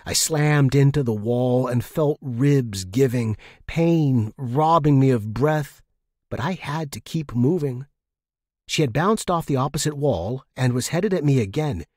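A man's voice: mid-range voice, expressive, unhurried, darkish tone, no room sound, studio quality. A man reading scripted first-person fiction as a story narrator.

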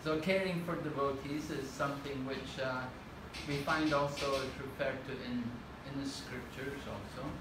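An older man giving a talk in a temple hall, speaking in short measured phrases so that an interpreter can follow. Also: medium pitch, steady tone. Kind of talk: lecture with consecutive interpretation. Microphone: room camera at a distance. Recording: echoey room from far off, with noise.

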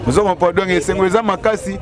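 A man's voice: sits high, fast. A man in his forties, interviewed on an outdoor market street, speaking emphatically and with enthusiasm into a handheld mic held close. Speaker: resident interviewee. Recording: crowd noise outdoors.

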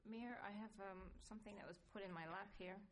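A woman's voice: mid-range voice, fairly quick, a little hiss or hum. A woman moderating a panel, posing questions with a wry, dry humor. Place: conference panel stage.

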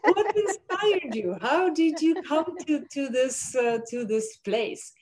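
A middle-aged woman from Bulgaria, a professor speaking as an interview guest over a video call, measured and thoughtful with frequent fillers. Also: high voice, expressive, crowd noise. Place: home room with window blinds.

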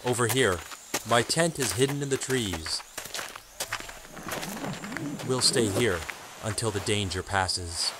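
An actor playing a solemn, stern hermit keeper of a sacred order, speaking formally in an audio drama. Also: male voice, fairly low pitch, slow, pauses often, noisy background. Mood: grave. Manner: grave, portentous, formal.

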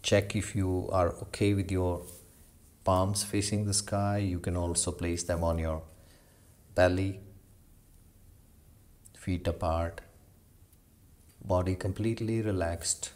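A man leading a guided meditation as a yoga instructor, giving slow, measured instructions with long pauses. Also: deep voice, steady tone, darkish tone.

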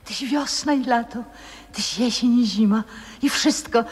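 Elderly ex-singer, wistful, poetic, unhurried, 1960s radio drama, long hall reverb. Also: female voice, a little high. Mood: hushed rapture. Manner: poetic, theatrical, hushed and breathy. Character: frail old former opera singer, adoring, lovelorn, wistful.

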